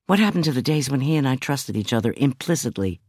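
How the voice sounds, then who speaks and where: A woman's voice: rumbling low, animated, quick pace, darkish tone, dry recording, clean studio sound, voice pushed up. A woman voicing a strong-willed, weary and defensive woman prosecutor who protests emotionally in an audiobook. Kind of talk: audiobook character dialogue.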